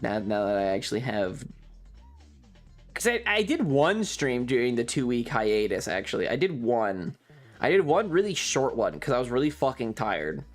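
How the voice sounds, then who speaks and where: A man's voice: medium pitch, sing-song, moderate pace. Guy streamer ranting, swears a ton, rambles on all fed up, some music playing behind him. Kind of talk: casual stream chat, ranting storytelling.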